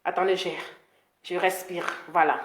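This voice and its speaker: A woman's voice: on the low side, moderate pace, reverberant. A woman with an Ivorian accent hosting an opinionated live stream, scolding emphatically and repeating her points for emphasis. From Ivory Coast. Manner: animated scolding, emphatic repetition. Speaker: social media live-stream host.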